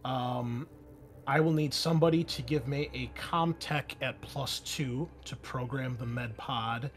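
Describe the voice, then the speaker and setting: A man's voice: mid-range voice, measured pace, slight background noise. A man running a tabletop roleplaying game, narrating scenes and explaining rules to players in a casual, informal way.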